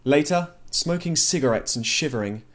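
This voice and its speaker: A man's voice: a little high, animated, moderate pace. Man reading a literary audiobook. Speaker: audiobook narrator. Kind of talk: expressive literary audiobook narration.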